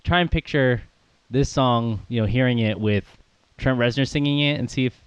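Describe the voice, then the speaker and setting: A man's voice: medium pitch, normal speed, smooth, no echo, lo-fi sound. A man co-hosting a casual podcast about music, rambling with plenty of fillers and little laughs. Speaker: podcast co-host.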